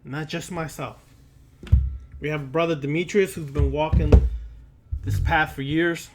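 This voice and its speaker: Man, high voice, slow deliberate pace, sermon-like lecture, emphatic repetition, admonishing. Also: music in the background. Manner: emphatic, repeats words and phrases; preachy, admonishing, addresses listener directly. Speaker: occult lecturer and teacher. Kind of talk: impromptu sermon-like lecture to camera.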